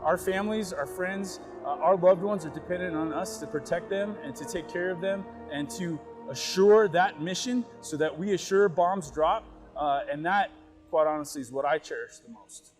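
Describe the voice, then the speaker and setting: A man's voice: high voice, normal speed, faint music behind. A man in a military role talking earnestly and informally in a documentary interview. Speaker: air force communications squadron leader.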